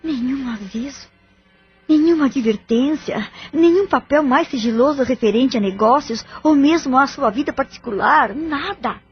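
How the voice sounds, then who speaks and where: A woman playing a composed, firm middle-aged mother in an audio drama, speaking in a deliberate, theatrical way. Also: high voice, faint music behind, lightly acted. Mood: disappointed, musing. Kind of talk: Portuguese-language audio drama acting. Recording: muffled, lo-fi audio drama track.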